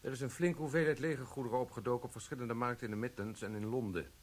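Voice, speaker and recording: An actor playing a cool, probing military police sergeant in a radio play, questioning in a dry, clipped way. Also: male voice, mid-range voice, fairly even intonation, quick pace, natural voice.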